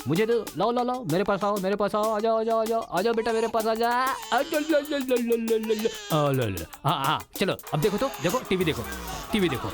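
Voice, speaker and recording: A teasing, coaxing father, his voice pitched high for a man. Loud film music plays behind him the whole time. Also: very animated, fairly quick. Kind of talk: film dialogue and voice-over.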